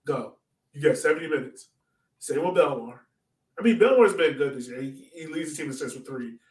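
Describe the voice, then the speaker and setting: Echoey, higher-voiced man chatting casually. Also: lively intonation, a little fast. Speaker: sports podcast co-host. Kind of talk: casual soccer chat. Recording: roomy echo, distant from mic.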